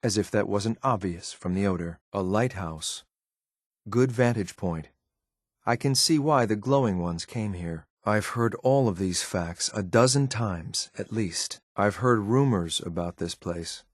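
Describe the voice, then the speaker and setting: A cold, dutiful android soldier from a video game, with a low male voice. He speaks in a clipped, formal way, restrained and obedient. The sound is dry and close. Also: unhurried, no room sound. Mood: guarded, observant. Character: stern, loyal male synth operative, cold and dutiful. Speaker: companion character in a video game. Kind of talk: scripted game voice lines.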